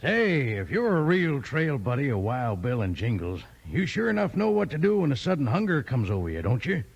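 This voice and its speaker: A man's voice: medium pitch, big pitch swings, normal speed, creaky, breathy edge, dull audio. An American man doing folksy, enthusiastic cowboy-style advertising for children as a 1950s radio announcer. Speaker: sponsor announcer and pitchman.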